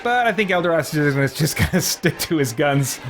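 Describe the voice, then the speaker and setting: A man's voice: a little high, animated, normal speed. A man giving casual, philosophical commentary as he hosts a video game playthrough, chatty and reflective. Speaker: let's play host. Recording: noisy, with game crowd sounds behind. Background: video game ambience and crowd noise.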